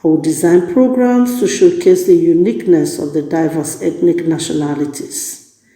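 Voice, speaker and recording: Slow, mellow man in an echoey room. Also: high voice. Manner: formal, measured, deliberate phrasing. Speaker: guest contributor. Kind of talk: prepared monologue, reading out an answer. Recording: roomy, echoing sound.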